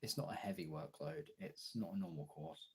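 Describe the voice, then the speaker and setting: Husky, low-voiced young man. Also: very animated, moderate pace. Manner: informal, chatty, answering chat as he goes. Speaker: streamer and video creator. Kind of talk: casual livestream chat.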